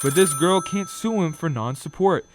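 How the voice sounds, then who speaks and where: A man playing a gruff, impatient lawyer and father in an amateur radio drama, brusque and demanding. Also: a little high, expressive, moderate pace, close to an everyday voice. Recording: clearly noisy background.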